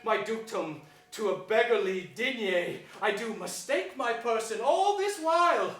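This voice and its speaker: A villainous duke in a Shakespearean stage play, heard from a distance in an echoing theatre. He is mocking and pleased with himself, declaiming every line slowly and deliberately. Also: very high voice, lively intonation, a bit bright, full character voice. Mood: gloating. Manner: declamatory, deliberate, with sardonic relish. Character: gloating, scheming villainous royal duke, mockingly self-admiring. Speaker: stage actor. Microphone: distant camera or stage mic.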